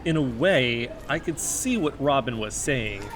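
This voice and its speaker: A man's voice: medium pitch, lively intonation, measured pace, close to an everyday voice. An actor playing an earnest, brooding amateur investigator who narrates his own conspiracy-minded story in an audio drama. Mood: musing. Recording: faint background noise.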